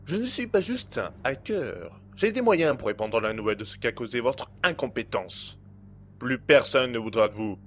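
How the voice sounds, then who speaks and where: A man's voice: medium pitch, lively intonation, normal speed, a little hiss or hum, natural voice. Man acting a cold, smug blackmailer, swooping delivery. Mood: coldly threatening. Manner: smooth, condescending, formal threats. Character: cold, menacing male blackmailer and hacker, smugly in control. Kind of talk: amateur audio drama acting.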